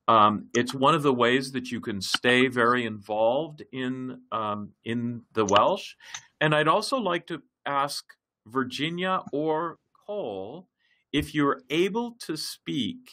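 Male facilitator on a computer mic, bright tone, medium pitch, hesitant delivery. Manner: halting, many fillers and restarts. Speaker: project facilitator. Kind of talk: hosting an online language class. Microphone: computer mic in a web meeting.

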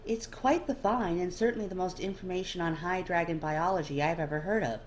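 A woman's voice: lowish voice, brisk, pitched above the performer's own voice, close to an everyday voice. A woman voicing a polite, self-deprecating female elven linguist in a storybook reading. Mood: modest, earnest. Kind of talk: storybook character dialogue. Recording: faint background noise.

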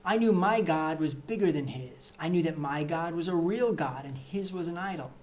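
A man's voice: a little high, normal speed, a little mellow, slight background noise. Man reading satire, mock-earnest and punchy. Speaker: reader of satirical book excerpts. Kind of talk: deadpan satirical reading to camera.

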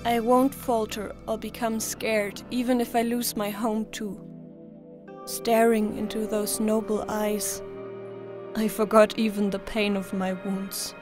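Woman acting a slow-spoken, solemn young trainer. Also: medium pitch, little pitch movement, natural voice. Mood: wistful, resolute. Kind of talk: dramatic flashback narration in a manga dub. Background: music.

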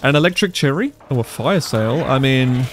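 A young man giving casual commentary over his gameplay, his voice a little congested from a cold and quick to react aloud to the game. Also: mid-range voice, lively intonation, faint music behind. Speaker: gaming YouTuber.

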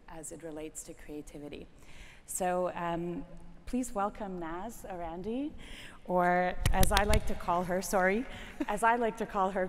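Woman on a big conference stage. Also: on the low side. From Soviet Union. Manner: hesitant, fills with many ums and uhs. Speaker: strategy lead co-presenting. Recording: hall reverb, faint ambient noise.

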